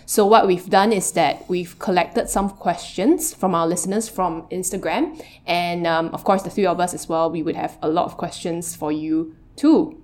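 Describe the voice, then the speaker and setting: A woman's voice: lowish voice, fairly even intonation, moderate pace, a little mellow. A woman co-hosting a podcast, leading the questions in a friendly, casual way. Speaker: podcast co-host.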